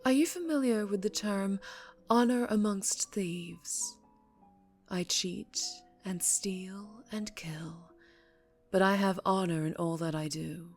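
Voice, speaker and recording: A woman playing a dangerously charming female mafia boss in an intimate ASMR roleplay, ruthless yet tender, speaking close and hushed with condescending affection. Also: mid-range voice, slow, faint music behind. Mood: cool, menacing. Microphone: close-up microphone.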